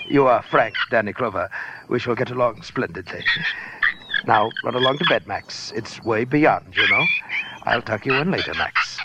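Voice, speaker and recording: A man playing a huge, suave, eccentric gentleman in a 1940s radio drama, speaking in a pompous, cultivated, flowery way. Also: medium pitch, animated, unhurried, heavy background noise, lightly acted. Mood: suave, amused. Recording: dull old radio recording, music behind.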